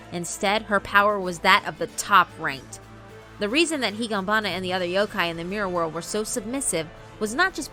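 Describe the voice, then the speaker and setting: A woman's voice: lowish voice, pushed and bright. A woman narrating a scripted visual novel in a theatrical, emphatic storytelling manner. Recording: music behind the voice.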